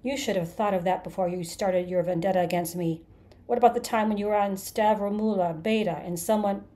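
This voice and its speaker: A battered, hateful creature played by a woman narrator from a storybook, snarling and hissing out his grudge. He is bitterly sarcastic and menacing, with a mellow darkness in the tone. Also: on the low side, steady tone, normal speed, close to an everyday voice. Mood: contemptuous anger. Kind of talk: dramatized audiobook character.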